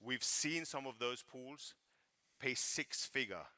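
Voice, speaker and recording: A man's voice: mid-range voice, slow pace, bright, forceful tone. A man with a French accent co-presenting at a live business event, emphatic and playful with the crowd. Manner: emphatic, repeats words for stress, playful with the crowd.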